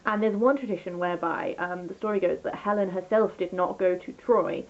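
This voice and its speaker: A woman's voice: on the low side, brisk, dull audio. A woman chatting casually through a book review as a vlogger, rambling and enthusiastic, often interrupting herself.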